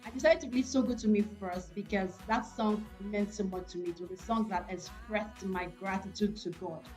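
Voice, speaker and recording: A woman's voice: mid-range voice, moderate pace, a little mellow, faint music behind. A woman from Nigeria with a Nigerian accent, speaking earnestly and devoutly as a gospel singer being interviewed. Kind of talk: personal interview talk.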